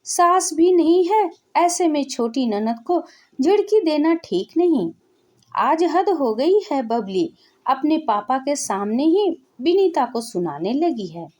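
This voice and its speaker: A woman's voice: high voice, big pitch swings, slow pace, a little mellow. A woman storyteller reading a scripted narration of a family story.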